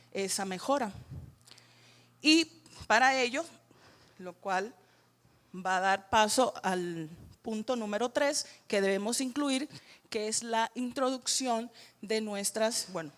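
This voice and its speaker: A woman from Cuba with a Cuban Spanish accent, leading a teacher training workshop and checking in with her audience as she goes. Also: fairly high pitch. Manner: guiding, checks in with "¿verdad?" and "ujú".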